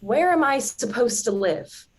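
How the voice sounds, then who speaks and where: A woman's voice: on the high side, animated, measured pace, husky, full character voice. A young woman playing a guarded, wounded daughter in a naturalistic stage drama, with a terse, blunt way of talking. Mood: shocked, indignant. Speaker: student actor.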